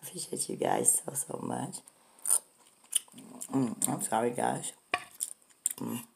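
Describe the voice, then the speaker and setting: A middle-aged woman with a hoarse voice from a cold, chatting to her viewers while eating breakfast in her home kitchen. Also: rumbling low, huge pitch swings, takes it slowly, background noise. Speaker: food vlogger. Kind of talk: casual eating vlog chat.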